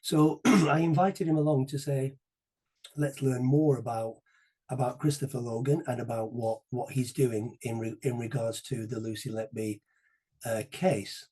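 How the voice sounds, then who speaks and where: A male interview host with a husky, warm, dark-toned voice. He talks haltingly, repeating words and clearing his throat as he goes. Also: medium pitch, steady tone, low-quality recording. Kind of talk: conversational interviewing.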